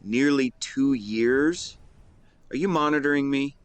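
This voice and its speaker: A man's voice: mid-range voice, a little slow, clean tone, no room sound, natural voice. A man in his twenties playing a devoted, protective and secretly lovesick best friend in a conversational audio roleplay, earnest and playful with some hesitation. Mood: wistful, resigned. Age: 20s. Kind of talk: intimate one-sided roleplay acting, conversational.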